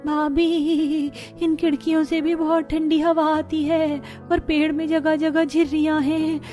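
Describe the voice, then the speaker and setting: Worried young wife voiced by a woman, family cartoon melodrama, higher register, earnest, light music bed. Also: darkish tone. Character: worried daughters-in-law of struggling cartoon family.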